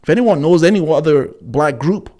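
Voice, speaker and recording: A man giving unscripted opinion commentary as a host, haltingly, repeating words and often checking in with "right?". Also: a little high, expressive, a little mellow.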